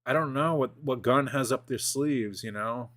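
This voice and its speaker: A man in his forties chatting casually as a streamer, rambling and excitable, with lots of fillers and restarts. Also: medium pitch, moderate pace. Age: 40s. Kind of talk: casual gaming chat.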